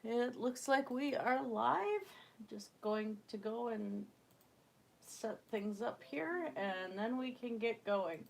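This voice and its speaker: A woman's voice: a little high, slow pace, gravelly. A young woman with a stuffy cold, chatting casually and rambling as she hosts her own livestream from home. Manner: rambling, chatty, laughs often. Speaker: livestream host.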